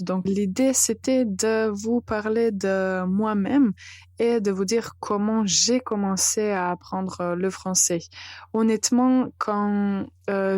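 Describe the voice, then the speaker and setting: A woman in her thirties from Serbia with a Serbian accent, hosting a podcast lesson calmly with frequent hesitations. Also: mid-range voice, dry recording, clean studio sound. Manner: calm, deliberate, with frequent hesitations. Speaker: French teacher and podcast host.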